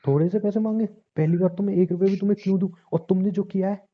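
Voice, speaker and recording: A man's voice: high-pitched, steady tone, fast, lightly acted. A man playing a defensive husband in an audio drama, swinging between grudging apology and accusation in conversational speech. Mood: indignant, heated. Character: defensive, short-tempered husband, grudgingly apologetic, nagging his wife. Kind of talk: Hindi audio drama dialogue, conversational acting. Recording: muffled, phone-like narrow sound.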